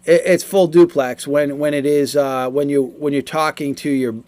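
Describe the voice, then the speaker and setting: Man, forties, slightly higher voice, casual reviewer, explanatory, halting and repetitive delivery. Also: fairly even intonation, darkish tone, no echo. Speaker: product reviewer.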